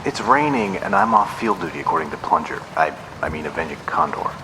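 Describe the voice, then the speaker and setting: A reluctant boy sidekick in an audio drama , acted by a man, with a very low, creaky voice. He talks in a halting, grudging way and his pitch swings wildly. There is clear noise behind him. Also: huge pitch swings, exaggerated voice. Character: reluctant, sulky boy sidekick on a walkie-talkie. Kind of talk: scripted audio drama acting.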